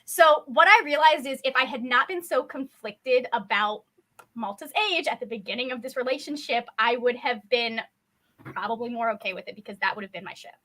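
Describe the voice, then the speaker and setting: A woman's voice: on the high side, brisk, pushed and bright. A woman co-hosting a casual livestream book discussion in a playful, wry way.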